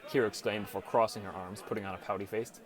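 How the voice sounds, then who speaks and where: A man's voice: fairly low pitch, fairly even intonation, very fast. A man reading scripted first-person story narration as an audiobook narrator.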